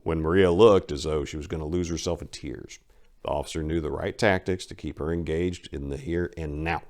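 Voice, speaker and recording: Low-pitched man narrating crime fiction. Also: fairly even intonation, fairly quick, a little mellow. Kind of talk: dramatic reading of a crime story.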